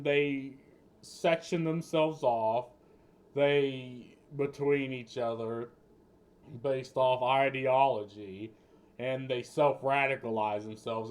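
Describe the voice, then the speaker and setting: Male streamer, unhurried and rambling, profane off-the-cuff commentary. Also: mid-range voice, darkish tone. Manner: rambling, swears often, restarts mid-sentence. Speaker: streamer reacting to news clips. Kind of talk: casual political commentary.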